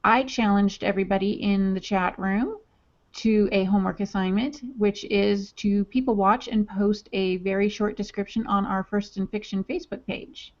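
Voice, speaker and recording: A woman's voice: mid-range voice, steady tone, moderate pace. A woman co-hosting a writing podcast, reading listener chat comments aloud in an upbeat, chatty way. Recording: dull lo-fi audio.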